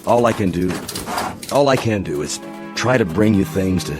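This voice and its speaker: An actor in a radio drama playing a grave, sympathetic spaceship captain who is guarded and dutiful. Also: male voice, deep voice, expressive, normal speed. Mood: somber, sympathetic. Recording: music behind.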